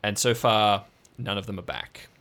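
A man voicing a brusque, exasperated woman ranger in an improvised audio drama, with a blunt, halting, authoritative manner. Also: on the low side, bright, forceful tone. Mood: grave, businesslike.

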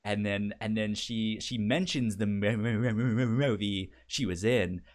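A man co-hosting a podcast, chatting casually about a TV show, stammering and repeating sounds and words as he talks in an animated way. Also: fairly low pitch, normal speed. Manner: animated, acts out quotes. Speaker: podcast co-host.

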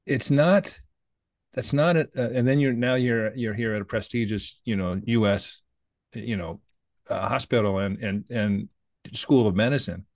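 A man's voice: medium pitch, moderate pace, darkish tone, dry recording, studio quality. A man hosting a podcast interview, asking curious questions and often stumbling and repeating words. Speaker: podcast host.

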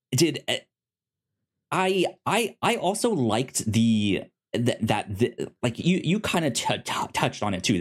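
A podcast co-host chatting casually about a show, often repeating and stuttering on the first sounds of words. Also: medium pitch. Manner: halting, repeats and stutters on word starts. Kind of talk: casual podcast review chat.

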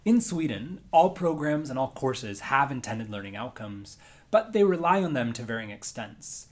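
Man lecturer narrating in a measured tone. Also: medium pitch, expressive. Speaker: university lecturer. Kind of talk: scripted narration.

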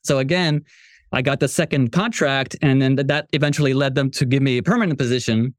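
Man, from Morocco, mid-pitched voice, conversational interview guest, dry recording. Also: fairly quick, no echo. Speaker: podcast guest, software entrepreneur.

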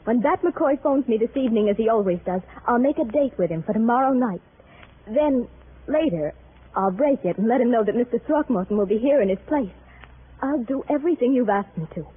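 Hurt proud widow, high voice, halting old radio melodrama, tinny hissy sound. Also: female voice, expressive, slightly rough. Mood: cold bitter. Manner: halting, dramatic, earnest. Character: wounded, proud young widow woman, emotional and bitter. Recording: noisy vintage radio, narrow phone-like band.

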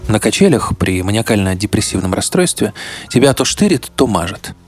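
A man's voice: fairly low pitch, sing-song, fairly quick, husky. A man hosting a podcast, narrating in a conversational, wry and colloquial way, speaking straight to the listener. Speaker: podcast host. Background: background music.

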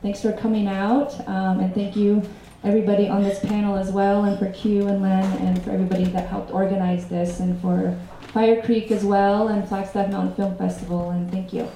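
A woman with a mellow, mid-pitched voice introduces herself on a panel and thanks everyone. She speaks with many pauses and fillers. Her pitch stays flat, and the room echoes strongly. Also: slight background noise.

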